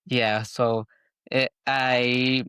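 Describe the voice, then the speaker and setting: A man from Venezuela with a Venezuelan Spanish accent, a podcast host who speaks in a halting way, restarting and repeating words. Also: medium pitch, flat delivery, pushed and bright, clean tone, no room sound, clean studio sound. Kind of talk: casual interview conversation.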